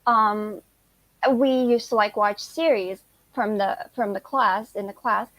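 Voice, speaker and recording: A woman's voice: medium pitch, fairly even intonation, unhurried, fairly bright tone, no room sound. A sixteen-year-old girl whose first language is Turkish, answering interview questions casually and filling her speech with "like" and "you know". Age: teens. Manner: chatty, lots of "like" and "you know". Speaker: guest, high school student.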